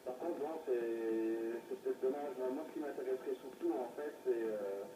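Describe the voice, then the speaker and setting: A man chatting casually over a radio link, speaking in a hesitant, halting way. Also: mid-range voice. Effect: heard through a radio receiver. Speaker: amateur CB radio operator. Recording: noisy distant echoey radio reception.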